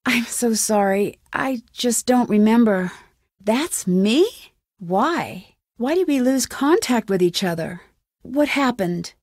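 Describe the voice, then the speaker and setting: A woman voicing a young amateur detective in a video game, inquisitive and determined, with a clear, earnest, questioning delivery. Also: on the high side, expressive, slow pace, darkish tone, dry recording, studio quality. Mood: probing, insistent. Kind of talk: scripted game dialogue, isolated lines.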